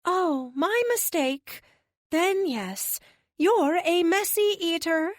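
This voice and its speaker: A woman voicing a sweet, guileless alien woman with gently reassuring, drawn-out phrasing in an audiobook. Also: extremely high pitch, animated, no room sound, studio quality, pitched above the performer's own voice, full character voice. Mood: gentle, teasing.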